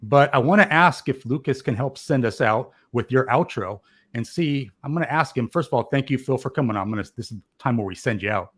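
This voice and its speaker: A man's voice: medium pitch, expressive, brisk, fairly bright tone, slightly rough. A man chatting casually as a live show host, with an enthusiastic, effusive way of talking.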